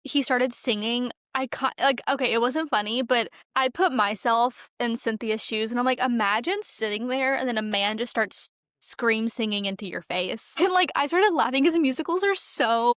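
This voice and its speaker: A woman's voice: on the high side, moderate pace, a bit bright, dry recording, clean studio sound. A young woman co-hosting a podcast in casual chat, talking in a gushing, slangy way with dramatic emphasis. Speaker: podcast co-host.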